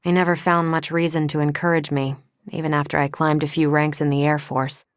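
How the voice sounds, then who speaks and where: Woman voicing low-pitched, wry American superheroine. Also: little pitch movement, fairly quick, dry recording, close to an everyday voice. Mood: earnest. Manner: dry, wisecracking, self-assured. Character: confident, wry superheroine, ex-Air Force pilot, woman. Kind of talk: video game voice acting.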